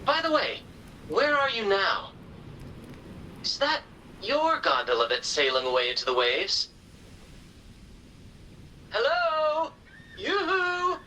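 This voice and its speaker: A flamboyant young seafaring adventurer in a fantasy game. His bright, forceful voice swoops about theatrically and playfully, with noisy ambience behind it. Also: extremely high pitch, huge pitch swings, takes it slowly, long pauses, full character voice. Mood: puzzled, calling out. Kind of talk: video game character dialogue.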